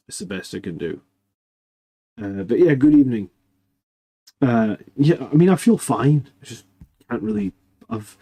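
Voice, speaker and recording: Male live stream host, hoarse and quiet, losing his voice, rambling, mid pitch. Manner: rambling, with many fillers and restarts. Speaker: host of a live army list show. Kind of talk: casual live stream chat.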